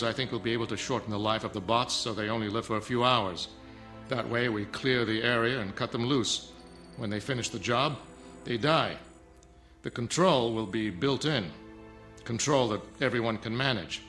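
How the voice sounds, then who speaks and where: A man playing a sharp, haughty scientist. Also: medium pitch, normal speed, faint music behind, natural voice. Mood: firm, explaining. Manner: haughty, lecturing, curt. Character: stern arrogant male scientist, defensive, guarding secret nanotech. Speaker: actor. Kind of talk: dramatic film dialogue.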